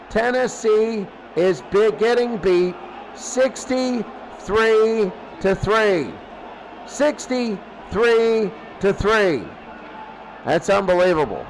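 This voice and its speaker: A man announces a game live in a high-pitched voice. He calls each play emphatically and doubles back on phrases for effect. Faint noise sits behind him. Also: rough recording. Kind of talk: live sports play-by-play commentary.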